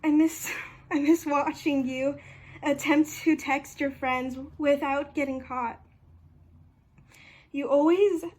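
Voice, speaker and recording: A woman's voice: high-pitched, a little mellow. A young woman playing a shy, wistful, grieving teenage classmate in an amateur drama, speaking hesitantly. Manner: hesitant, halting delivery. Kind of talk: amateur stage drama monologue.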